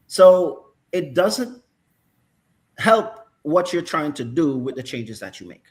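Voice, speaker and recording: A man's voice: on the high side, big pitch swings, slow. Thoughtful middle-aged male football pundit. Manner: thoughtful, frequent "right?" and "um". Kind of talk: casual analytical chat.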